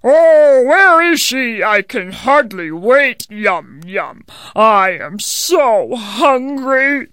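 Woman putting on a booming greedy giant. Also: lively intonation, no echo, clean studio sound, exaggerated voice. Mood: greedy, threatening. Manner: loud, slow, gloating growl. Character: hungry evil male giant, booming and greedy.

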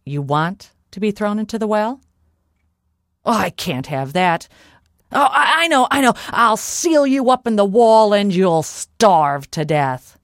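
A storybook giant played by a woman, angry, dim and easily fooled. He blusters and threatens in a lively, swinging pitch, with very little room sound around the voice. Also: measured pace, dry recording, clean studio sound. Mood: puzzled, then gloating.

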